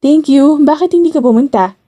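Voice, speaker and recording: Casting: woman reader playing an eager, pouty young Filipino woman, expressive audio-drama delivery, high mellow voice. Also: brisk, close to an everyday voice. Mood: excited.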